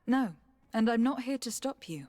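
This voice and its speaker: A woman's voice: fairly high pitch, expressive, normal speed, a little mellow, dry recording, natural voice. An actress playing a poised, courteous young noblewoman diplomat in a video game, speaking formally and reassuringly. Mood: composed. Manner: polite, composed, formal. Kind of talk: scripted video game dialogue.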